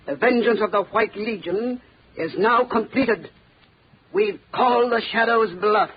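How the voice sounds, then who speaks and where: A high-voiced, gloating radio villain. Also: male voice, a little slow. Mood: gloating, menacing. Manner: pompous, theatrical villainy. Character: gloating, sanctimonious male villain, ruthless gang leader. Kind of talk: 1930s radio acting. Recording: noisy, muffled 1930s radio recording.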